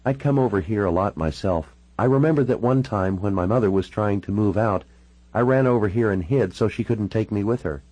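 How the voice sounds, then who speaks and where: A man's voice: fairly low pitch, brisk, mellow, no echo, voice pushed up, lightly acted, low-quality recording. A man voicing a troubled, sardonic, hard-drinking woman with a wry, frank and informal way of talking, in audiobook dialogue. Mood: reminiscent.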